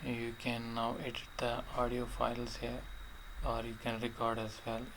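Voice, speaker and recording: A man narrating a step-by-step software tutorial in short, halting, plainly instructional phrases. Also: mid-range voice, completely flat delivery. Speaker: tutorial presenter. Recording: clearly noisy voice-over recording.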